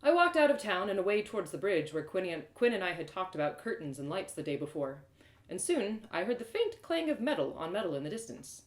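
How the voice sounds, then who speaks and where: A woman reading a story aloud as an expressive first-person narrator. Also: mid-range voice, brisk. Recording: roomy, echoing live reading.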